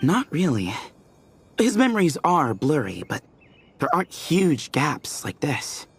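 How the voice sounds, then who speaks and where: A man's voice: a little high, wildly swooping pitch, unhurried, husky, heavily acted. A man voicing a friendly, easygoing young adventurer who speaks in an upbeat, agreeable way.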